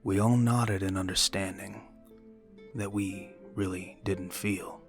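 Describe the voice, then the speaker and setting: A man reading a scripted horror story as narrator, in a hushed, measured manner. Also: deep voice, fairly even intonation, vocal fry, music in the background.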